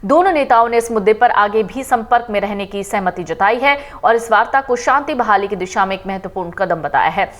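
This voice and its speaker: A woman reading a scripted news report in a steady, formal manner. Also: mid-range voice, brisk, hardly any pauses. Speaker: news reader.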